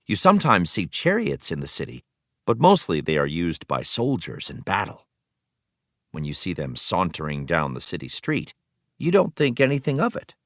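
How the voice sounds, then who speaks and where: Expressive man reading aloud, swooping intonation. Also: low-pitched, no echo, clean studio sound. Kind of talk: scripted educational read-aloud narration.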